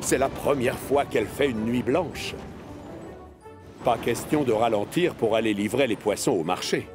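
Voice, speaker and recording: A man narrating a scripted children's cartoon in an expressive storytelling way. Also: mid-range voice, moderate pace, music in the background.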